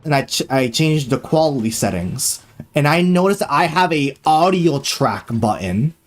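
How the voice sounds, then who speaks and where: A young man chatting casually on a live gaming stream, excitable and loose, full of fillers and slang, swearing freely. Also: fairly high pitch, moderate pace.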